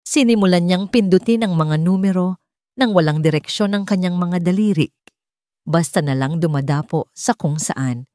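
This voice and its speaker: Filipino lady reading a dramatic audiobook story, lowish voice, really dry recording. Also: normal speed, warm tone, no echo. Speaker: audiobook narrator. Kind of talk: scripted dramatic story narration.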